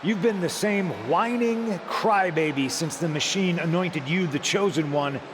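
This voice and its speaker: An actor with an American accent playing a smug, taunting veteran wrestler delivering a condescending promo in a video game. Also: male voice, high-pitched, noisy background. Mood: mocking. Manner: mocking, condescending, deliberate showman. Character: smug, taunting veteran male pro wrestler and cult-like leader.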